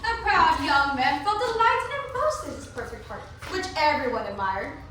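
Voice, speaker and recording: A woman's voice: high voice, very animated, normal speed, pushed and bright. A woman performing scripted theatrical narration as a readers theatre performer. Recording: very echoey room, distant mic, faint noise.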